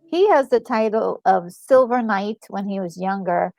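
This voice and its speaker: A woman co-hosting a gaming discussion, talking casually and enthusiastically in a halting, emphatic way over music. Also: medium pitch, vocal fry, a little mellow, dry recording. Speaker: gaming channel co-host. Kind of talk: casual commentary on a game story. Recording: music playing behind a close, dry voice.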